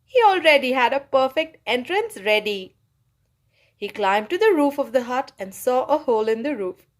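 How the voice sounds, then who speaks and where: A woman reading a children's story aloud for her channel, in a cheerful, expressive way. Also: sits high, moderate pace. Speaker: storybook reader, channel host.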